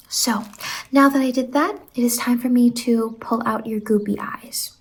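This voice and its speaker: A woman playing a quirky, doting fantasy salon worker in an ASMR roleplay, speaking softly and slipping in and out of whispers. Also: fairly high pitch, slight background noise, rough recording. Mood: soothing, doting. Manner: soft-spoken, drifting in and out of whispers. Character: Mazey, quirky doting female fever-dream salon worker.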